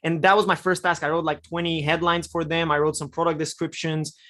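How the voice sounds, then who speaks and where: A young man from Romania, a podcast guest, telling his story in a relaxed way with many fillers. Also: a little high, fairly quick. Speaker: guest, e-commerce copywriter. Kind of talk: podcast interview answers.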